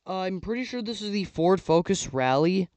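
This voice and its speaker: A young man giving casual tier-list commentary on toy car sets, rambling with lots of fillers and a voice he says is going hoarse. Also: sits high, expressive, moderate pace, a little mellow, dry recording. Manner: rambling, filler-heavy, trailing off. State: losing his voice. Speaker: YouTuber ranking toy sets.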